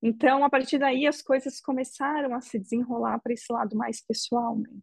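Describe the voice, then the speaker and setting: A Brazilian woman interviewee telling her personal story in a measured, reflective way, often ending phrases with a questioning tag. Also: fairly high pitch, flat delivery, gravelly, darkish tone, breathy edge, no room sound. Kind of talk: emotional personal testimony.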